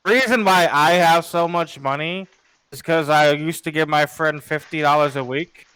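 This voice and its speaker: A man's voice: a little high, normal speed, pushed and bright, clear, resonant tone, poor audio. A man chatting casually about card games, blunt and sweary, often repeating himself. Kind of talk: casual card game chat. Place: online group voice call.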